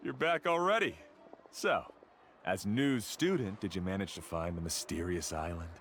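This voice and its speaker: An actor playing a proud, defensive rival warrior in a video game, with a brash, boastful delivery. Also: male voice, low voice, moderate pace, music in the background, lightly acted. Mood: taunting.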